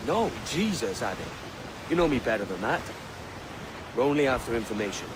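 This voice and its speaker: An actor playing a level-headed, roguish pirate captain in a video game, casual and assured. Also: male voice, mid-range voice, very animated, a little fast, noisy background, natural voice. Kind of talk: video game character acting.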